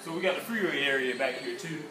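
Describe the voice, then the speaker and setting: A man's voice: on the high side, quick pace. A middle-aged man with a Southern US accent giving a casual, boastful walking tour of his gym. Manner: upbeat, boastful salesman patter. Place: indoor gym, echoey rooms. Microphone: handheld camera following him. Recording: echoey camera audio from a distance with music. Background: music playing in the gym.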